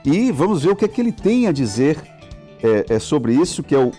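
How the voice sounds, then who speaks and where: A man's voice: a little high, sing-song, brisk, warm tone, faint music behind. A male TV host interviewing a guest in a studio, talking with many fillers and restarts. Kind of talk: live TV interview.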